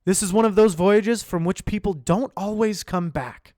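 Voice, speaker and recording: A man voicing an eager, excitable middle-aged scientist who speaks in an urgent, animated way. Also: normal speed, no echo, clean studio sound, close to an everyday voice. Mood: excited. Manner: urgent, animated, rising pitch.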